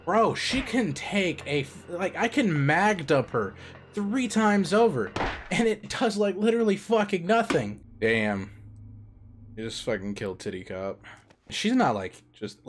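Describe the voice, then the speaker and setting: Lively, cursing young streamer guy. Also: on the high side, a little slow. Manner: joking, profane, loud excited reactions. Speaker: game streamer. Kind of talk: casual live-stream commentary. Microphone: headset mic.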